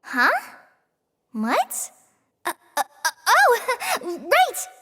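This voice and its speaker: A woman's voice: very high-pitched, huge pitch swings, very slow, pushed and bright, long reverb tail, exaggerated voice. A woman voicing a chirpy, cheeky young girl student in a scripted video game. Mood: flustered, giggly. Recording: music playing underneath.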